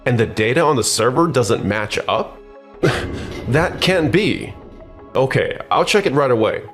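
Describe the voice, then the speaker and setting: A man playing a self-serving, scheming office worker in an anime-style dub, excitable and easily flustered. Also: mid-range voice, unhurried. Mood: alarmed. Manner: stammers when cornered, excitable. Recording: music bed behind the voice.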